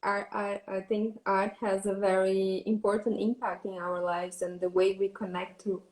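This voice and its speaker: A woman's voice: mid-range voice, little pitch movement, moderate pace. Earnest Brazilian woman in an echoey room. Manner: open, earnest, laughs often. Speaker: guest. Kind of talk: casual interview chat.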